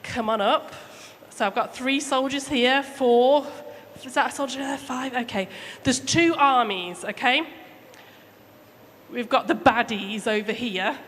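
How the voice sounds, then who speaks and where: A woman's voice: on the high side, unhurried, fairly bright tone. A woman telling a story to children in a chatty, simple, interactive way. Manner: chatty, asks listeners questions, addresses children simply. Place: church hall during a service. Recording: echoey hall with background noise.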